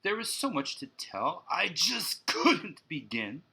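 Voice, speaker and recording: A man voicing an excitable storybook boy, reading rhyming verse in an emphatic, measured way. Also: a little high, vocal fry, breathy edge, pitched above the performer's own voice, exaggerated voice. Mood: flustered. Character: excitable storybook boy telling his tale. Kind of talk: expressive rhyming picture-book read-aloud.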